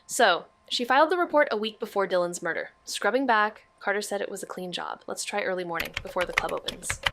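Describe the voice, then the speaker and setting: A woman's voice: mid-range voice, a little fast, a bit bright, a little hiss or hum, natural voice. A woman playing a level-headed, sardonic young amateur detective in an audio drama, speaking casually and matter-of-factly. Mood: focused, dry. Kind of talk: scripted audio drama dialogue.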